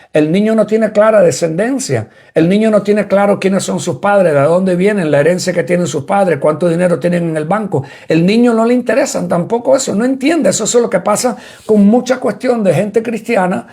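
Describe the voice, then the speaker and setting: A man's voice: sits high, gravelly, a little mellow, breathy edge. A man from Cuba, preaching a sermon and repeating points as he explains them to listeners. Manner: repetitive, explanatory preaching that addresses listeners directly. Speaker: apostle preaching.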